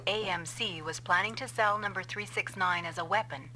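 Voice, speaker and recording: An actress playing a vengeful female scientist in an anime-style game dub, explaining coldly and deliberately. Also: mid-range voice, pushed and bright, a little hiss or hum, natural voice. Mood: grim, confessing. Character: vengeful female scientist confessing a revenge plot.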